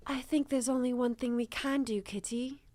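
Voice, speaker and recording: A woman's voice: fairly high pitch, fairly even intonation, normal speed, a little mellow, no room sound, studio quality, natural voice. A woman playing an earnest, naive and eager small-town woman in an improvised radio drama. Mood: earnest, hopeful.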